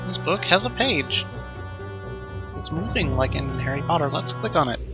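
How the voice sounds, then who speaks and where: A man hosting a let's play with casual, improvised commentary, rambling and thinking out loud as he goes. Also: fairly high pitch, expressive, normal speed, lo-fi sound, loud music behind the voice.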